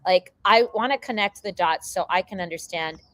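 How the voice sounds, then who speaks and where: A woman's voice: on the low side, normal speed, a bit bright. A woman hosting a casual interview, rambling through her questions with many fillers and repeated likes. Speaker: interviewer host.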